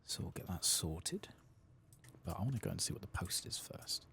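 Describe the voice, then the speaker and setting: A soft-spoken man hosting an ASMR game playthrough, hushed and unhurried, sometimes slipping into whispers. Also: low-pitched, huge pitch swings, creaky, slight background noise. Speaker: ASMR gaming host.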